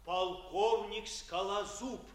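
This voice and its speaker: A deferential manservant in vintage radio theatre. He announces formally and very slowly in a high voice, set back in an echoey space. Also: fairly even intonation. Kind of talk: 1950s radio stage acting. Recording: echoey, distant 1950s radio stage recording.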